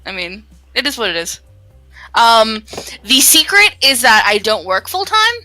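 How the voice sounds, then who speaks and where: A woman's voice: on the high side, sing-song, a little slow, bright, forceful tone, rough recording. A woman chatting casually on a game stream as a developer, rambling and self-deprecating, with casual swearing. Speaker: indie visual novel developer. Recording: music playing behind the voice.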